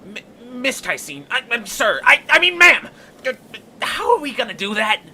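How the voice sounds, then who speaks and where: A man voicing a timid creature sidekick in an audio story, creaky and stammering, with a hesitant manner. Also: extremely high pitch, big pitch swings, moderate pace, pushed and bright, heavily acted. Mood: nervous, meek.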